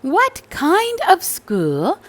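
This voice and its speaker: A woman's voice: very high voice, wildly swooping pitch, drawn-out words, voice pushed up, exaggerated voice. A woman voicing an obliging female shopkeeper in a children's storybook, questioning and emphatic. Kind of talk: children's storybook read-aloud.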